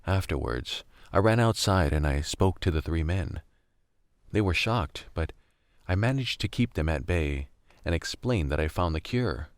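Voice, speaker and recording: Very deep, gravelly, weary survivor narrator played by a man. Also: expressive, a little slow, no room sound, studio quality. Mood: reflective. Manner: wry, brooding, world-weary. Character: weary, gritty young male survivor narrating a post-collapse story. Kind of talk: dramatic first-person radio drama narration.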